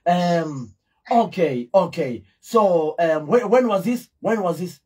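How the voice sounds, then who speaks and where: Middle-aged man on air in a small studio, raspy a bit, says things three times. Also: high-pitched, expressive, slow. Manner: warm-up repetitions, teasing, repeats words for emphasis. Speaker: radio show host. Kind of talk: radio interview. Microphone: studio mic with headphones.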